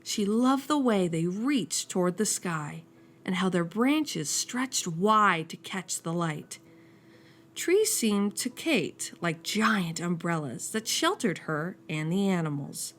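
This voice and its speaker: A woman reading a children's picture book aloud as a storyteller, slowing down and dropping soft and low on each refrain. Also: animated.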